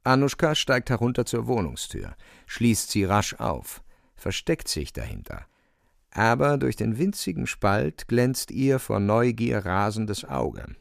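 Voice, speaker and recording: Gravelly, lively male radio drama narrator. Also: fairly low pitch, measured pace, dry recording, clean studio sound. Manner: vivid, suspenseful storytelling.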